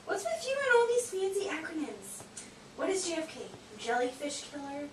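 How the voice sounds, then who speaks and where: A woman voicing a cheeky, naive, excitable sock puppet pupil in an amateur educational puppet show, with exaggerated, teasing delivery. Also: very high-pitched, moderate pace. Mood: teasing, puzzled. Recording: echoey room, distant mic, hissy noise.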